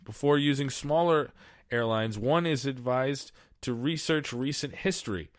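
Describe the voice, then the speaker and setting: A man's voice: medium pitch, measured pace, dry recording, clean studio sound. Casual profane male podcast host. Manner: casual, profane, rambling with filler words. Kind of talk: solo podcast monologue, reading aloud and riffing. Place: small studio.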